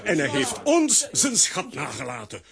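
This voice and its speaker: A man playing a warm-hearted, emotional cartoon hero in a children's audio drama, given to exclamation. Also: fairly high pitch, wildly swooping pitch, a little slow, creaky, crowd noise, heavily acted. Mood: tender, earnest.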